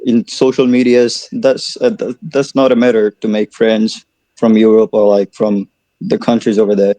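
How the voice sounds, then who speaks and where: A man's voice: fairly low pitch, level tone, normal speed, dark tone, no echo. A man giving casual advice on an online voice call, often repeating his words. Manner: repeats words while advising.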